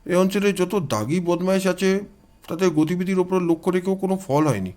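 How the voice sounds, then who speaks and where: A man acts out a police inspector in an audio story, courteous and troubled. He explains matters politely in a fairly high male voice. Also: normal speed, a little mellow, lightly acted. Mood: explaining.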